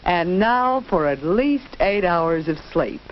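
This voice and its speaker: A woman's voice: on the low side, lively intonation, slow pace. A woman giving scripted, instructive narration in a 1940s educational film style, carefully enunciated. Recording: dull, noisy 1940s film soundtrack.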